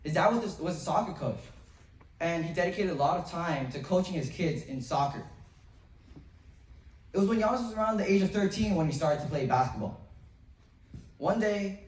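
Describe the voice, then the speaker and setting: A young boy in middle school delivers an earnest memorized speech to his school, pausing between phrases and sometimes stumbling over a restart. His voice sits a little high. He sounds far from the mic, with the echo of a large hall. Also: expressive, darkish tone. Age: young teen, 7th grade middle school student. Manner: earnest, with pauses, some restarts. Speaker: student speaker. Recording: roomy, distant from the mic.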